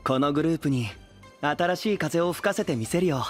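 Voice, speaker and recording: A male actor playing a serene, lofty young idol in an audio drama, gentle and composed. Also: fairly high pitch, lively intonation, a little fast, soft background music, natural voice. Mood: graceful, welcoming. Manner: gentle, composed, refers to himself by name.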